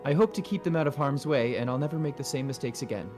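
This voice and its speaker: A man voicing a young queen in game dialogue, gentle yet resolute, speaking formally and earnestly. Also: medium pitch, animated, quick pace, over music, close to an everyday voice. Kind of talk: game dialogue read aloud in a fan dub.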